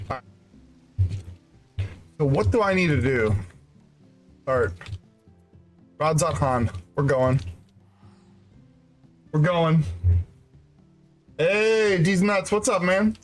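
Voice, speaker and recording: A man's voice: a little high, lively intonation, very slow, pauses often, soft background music. A congested man chatting casually on his game stream, rambling, laughing and swearing freely. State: congested nose and throat. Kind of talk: casual gaming stream chat.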